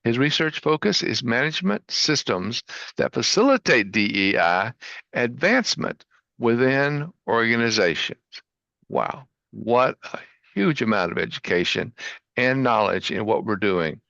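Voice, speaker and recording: Gravelly bright-voiced guy hosting a video call show, dry slightly dull sound. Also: mid-range voice, lively intonation, measured pace, dry recording. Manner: upbeat, enthusiastic. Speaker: host. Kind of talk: online show introduction.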